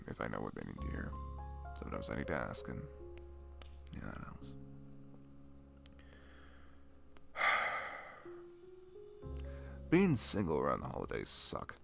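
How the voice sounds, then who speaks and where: A man's voice: rumbling low, wildly swooping pitch, gravelly, music in the background, exaggerated voice. A man playing a dorky, shy, lonely young best friend in an intimate ASMR roleplay, rambling hesitantly and putting himself down. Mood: soft, wistful. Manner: hesitant, self-deprecating, halting with fillers and sighs.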